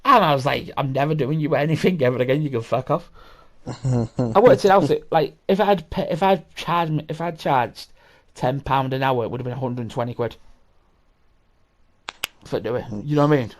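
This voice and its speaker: A man in his thirties hosts a laid-back podcast chat. He swears freely and rambles, often restarting his sentences. His voice sits at an ordinary middle pitch. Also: brisk. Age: 30s. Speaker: podcast host. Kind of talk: casual chat.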